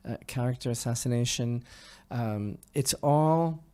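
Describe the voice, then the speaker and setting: A man co-hosting a podcast, speaking emphatically in halting bursts and piling up synonyms as he comments. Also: medium pitch, dark tone. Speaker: podcast co-host.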